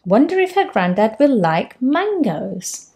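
A woman in her thirties reads a children's storybook aloud in a room at home. Her voice sits a little high and swoops widely as she tells the story gently, taking her time over every line. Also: unhurried. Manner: gentle, expressive storytelling with raised-pitch character quotes. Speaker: storytime host. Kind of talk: children's picture book read-aloud. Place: home room with bookshelves, indoors.

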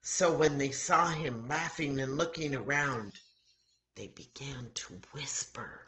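An older American woman, in her sixties, reads a children's Bible story aloud in a dramatic, deliberate manner, acting out the dialogue. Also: very deep voice, a crowd in the background. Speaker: children's story time host at a church. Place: home kitchen, indoors. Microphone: webcam or laptop mic at arm's length.

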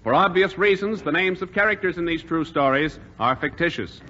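High-voiced 1940s radio announcer, a man. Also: fairly quick. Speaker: announcer and narrator. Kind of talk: dramatic 1940s radio narration. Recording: dull 1940s radio broadcast, loud music.

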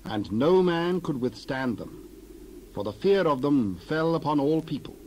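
A man narrating a dramatized scripture reading in a measured, formal delivery. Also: on the high side, animated, darkish tone, a little hiss or hum, poor audio.

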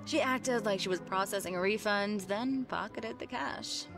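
A woman's voice: medium pitch, normal speed, close to an everyday voice. An actress playing a sly, two-faced young woman shop worker in a visual novel. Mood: falsely dutiful. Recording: music behind.

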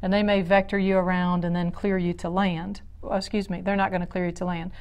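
A woman's voice: fairly low pitch, flat delivery, a little fast, darkish tone, clean tone. A middle-aged woman working as a flight instructor, explaining things step by step in a conversational lecture style. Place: small indoor classroom or training room.

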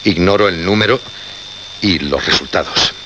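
An actor with a Castilian accent playing a grave, anguished programme director in a radio drama, insistent and repeating phrases for emphasis. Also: male voice, sits low, moderate pace, close to an everyday voice. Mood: solemn, pleading. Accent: Castilian Spanish. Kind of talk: Spanish radio drama acting. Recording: dull, noisy radio recording.

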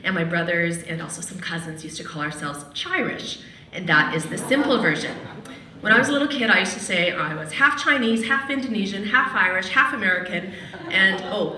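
A woman's voice: medium pitch, expressive, moderate pace, sharp tone. A middle-aged Canadian woman, a radio host, telling a personal story at a podium in a large hall in a wry, conversational way. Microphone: podium mic from a distance. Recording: echoey hall, mic at a distance.

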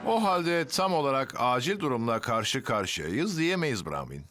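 A kindly cartoon fireman who reassures people. His medium voice is calm yet melodic and varied, recorded dry with faint music behind. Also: medium pitch, normal speed, dry recording. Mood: calm, reassuring. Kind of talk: animated children's cartoon dub.

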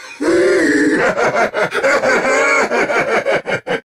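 An actor playing a sadistic, mocking combat-robot villain in a Japanese video game, with sneering, theatrical, drawn-out taunts. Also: male voice, high-pitched, sing-song, fairly bright tone, recorded from a distance, heavily acted. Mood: gloating.

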